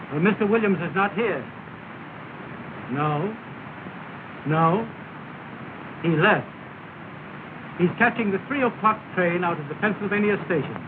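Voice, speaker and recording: A man playing a formal, curt, businesslike gentleman on the telephone in a 1930s film. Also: high voice. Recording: noisy, tinny 1930s film soundtrack.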